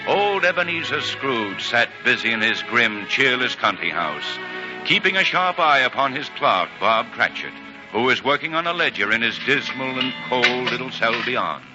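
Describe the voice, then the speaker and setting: A dull old radio recording with music behind a male narrator. His voice is on the low side and very expressive, telling the story dramatically and conversationally. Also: normal speed. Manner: dramatic, conversational, relishing words.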